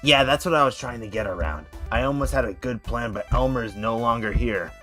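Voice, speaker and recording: A man's voice: mid-range voice, brisk, a bit bright, faint music behind, natural voice. A man voicing a practical schemer planning a rescue in an amateur cartoon comic dub. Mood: businesslike.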